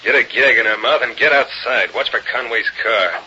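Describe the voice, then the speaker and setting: An actor playing a bossy, menacing gang boss who gives gruff, slangy commands in old radio crime drama. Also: male voice, mid-range voice, moderate pace, pushed and bright. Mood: curt, bossy. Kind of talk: 1930s-40s radio acting. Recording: tinny, faintly noisy old radio recording.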